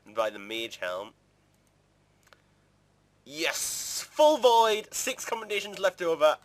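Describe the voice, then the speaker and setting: A man's voice: high-pitched, huge pitch swings, slow pace, no room sound. Casual man chatting through gameplay. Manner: chatty, informal rambling. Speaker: gaming video creator.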